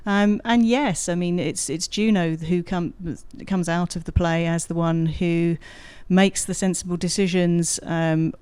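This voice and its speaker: A woman's voice: fairly low pitch, fairly even intonation, a little slow, hardly any pauses, darkish tone, clear, resonant tone, no echo. Woman academic in close, dry studio sound. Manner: articulate, explanatory, thinking aloud with rhetorical questions. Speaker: guest academic expert, drama lecturer. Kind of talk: radio interview, explanatory discussion. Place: radio studio.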